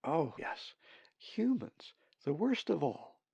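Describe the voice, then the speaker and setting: Opinionated, cautious female storybook raven, played by a man: raised pitch, emphatic disapproval, gravelly edge. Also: big pitch swings, takes it slowly, a little mellow, no echo, highs cut off, exaggerated voice. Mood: disgusted.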